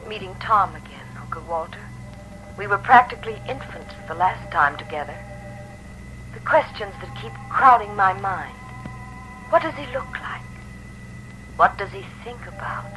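An actress playing a wistful young fiancée whose letter is heard in memory, in 1940s radio acting. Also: female voice, mid-range voice, expressive, moderate pace, pauses often, bright, forceful tone, low-quality recording. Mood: wistful, wondering. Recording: thin old radio recording with music.

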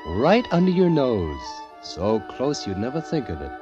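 Theatrical poet with swooping delivery. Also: male voice, mid-range voice, huge pitch swings, moderate pace. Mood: secretive, pleased. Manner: dramatic, florid, exultant. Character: penniless, dreamy, theatrical poet in hiding. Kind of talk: 1940s radio acting, diary monologue. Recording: dull vintage radio broadcast. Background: prominent music.

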